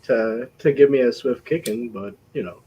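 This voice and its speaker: A man in casual, joking panel chat who talks haltingly and repeats himself. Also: medium pitch, dull audio. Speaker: panel member. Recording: faint background noise.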